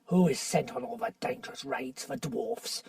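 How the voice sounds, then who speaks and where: A storybook reader voicing a bitter, quarrelsome male dwarf who argues hotly and shouts. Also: mid-range voice, very animated, moderate pace, gravelly, breathy edge, no echo, clean studio sound, recorded from a distance, full character voice. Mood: defiant. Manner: heated, defiant, shouting.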